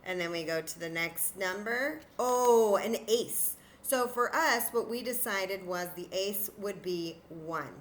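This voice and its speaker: A woman's voice: mid-range voice, slow, few pauses, slight background noise. A woman teaching young children in an interactive demonstration, patient and encouraging, thinking aloud as she goes. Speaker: children's story time teacher.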